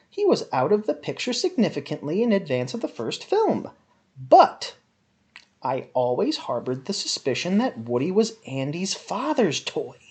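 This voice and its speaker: A woman's voice: lowish voice, darkish tone. A woman giving a melodramatic, emphatic dramatic reading of a written review, stretching out words for effect. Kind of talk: theatrical dramatic reading of a monologue.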